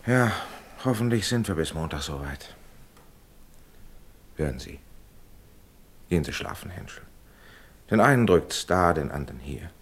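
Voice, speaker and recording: An actor playing a conciliatory middle-class gentleman in a radio drama, raspy, speaking as a reassuring, educated old friend. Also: sits low, expressive, a little slow, long pauses, slight background noise. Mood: conciliatory, weary. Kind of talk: German radio drama acting.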